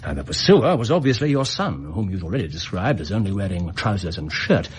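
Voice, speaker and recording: A man's voice: sits low, very animated, a little fast. An actor playing a brilliant, self-assured detective in a radio drama, setting out his reasoning in a precise, theatrical way. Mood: methodical.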